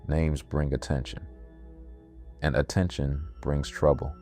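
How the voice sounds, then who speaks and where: A man voicing a weary, cryptic innkeeper in his sixties in a horror story, speaking softly and with resignation. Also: rumbling low, level tone, measured pace, faint music behind.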